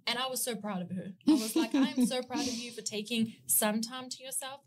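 A woman's voice: fairly high pitch, a little fast, a little hiss or hum. A woman in her thirties with a South African accent, a guest talking business partnership in a casual podcast chat. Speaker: guest, brand strategist.

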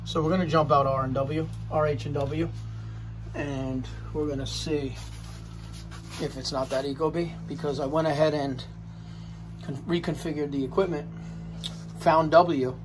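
A man in a mask talks viewers through a service call in plain, easygoing words, cursing now and then. His voice sits a little high, and faint background noise runs under it from a body camera. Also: fairly even intonation, normal speed, long pauses. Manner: casual, swears, talks to viewers while working. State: wearing a mask. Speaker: HVAC technician. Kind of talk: casual ride-along vlog. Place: homes, boiler room, then a car.

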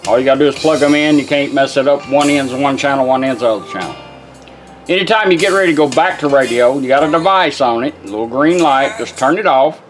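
A man's voice: medium pitch, fast. A man giving a casual, folksy product demonstration in plain-spoken words. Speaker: radio restorer demonstrating a product. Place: indoor workbench demonstration. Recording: radio and music playing behind. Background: car radio broadcasts and music.

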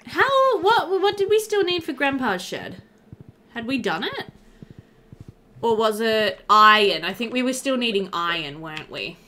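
A woman in her thirties giving casual, chatty let's-play commentary, animated and thinking aloud. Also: a little high, a little slow, slight background noise. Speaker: gaming video host. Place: indoor room.

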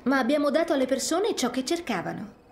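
A woman playing a kind, hardworking young restaurateur in an animated video game, sincere and composed. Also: high-pitched, fast, vocal fry, full character voice.

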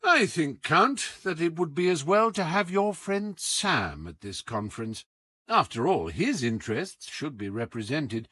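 A man voicing a sharp, commanding detective in an audiobook, coolly taunting, with deliberate, teasing emphasis. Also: fairly high pitch, very animated, dry recording, pitched above the performer's own voice, heavily acted. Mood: calm, persuasive. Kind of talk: audiobook character acting.

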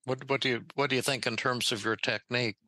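An older man hosting a therapy podcast, talking in halting, repeated starts while demonstrating a role-play. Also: mid-range voice, fairly even intonation, sharp tone, dry recording. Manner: halting, many repeated words.

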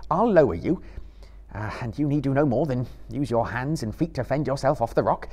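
A man voicing an earnest, determined, gentlemanly hobbit adventurer, thoughtful and well-spoken, in a live storybook reading. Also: mid-range voice, animated, a little fast, voice pushed up, natural voice. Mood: resolute.

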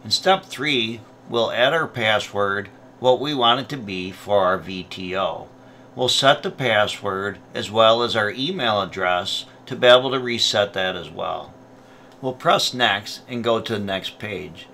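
A man's voice: fairly low pitch, a little slow, sharp tone, slight background noise. A man presenting a product tutorial, explaining each step in a plain, methodical way. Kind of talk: step-by-step instructional narration.

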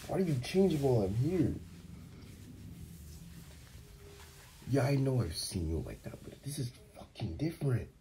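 A man performs a mafia boss lover for an intimate ASMR roleplay. His voice is very deep, dark and mellow, with a gravelly fry. He is doting and flirtatious under the gruffness, and easily flustered. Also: slight background noise, heavily acted.

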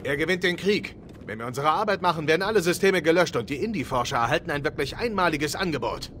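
A man's voice: on the high side, expressive, fast talker. An actor playing a confident soldier leading his squad in a video game, urgent and clipped. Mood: firm briefing. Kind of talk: German video game dub acting. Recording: loud music and battle noise behind.

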